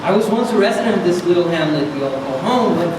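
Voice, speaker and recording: High-pitched creepy hobo clown acted by a man. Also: expressive, fast. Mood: creepy glee. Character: demented older male hobo with smeared clown makeup, creepy, jovial. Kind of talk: staged script reading. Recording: noisy, echoey room from far off.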